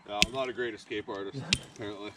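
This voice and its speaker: A man's voice: on the low side, fairly even intonation, fast, distant mic. A man chatting casually outdoors in a laconic, agreeable way, with some swearing. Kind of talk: casual chat. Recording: very noisy outdoor recording, off-mic.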